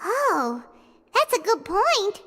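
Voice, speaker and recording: A woman voicing a tiny, childlike fairy companion in a video game, squeaky, impatient and excitable. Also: slow pace, noisy background, full character voice. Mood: puzzled, curious. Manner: bouncy, exclaiming, speaks of herself in third person. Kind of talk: cartoonish video game dialogue. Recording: reverberant hall, loud music underneath.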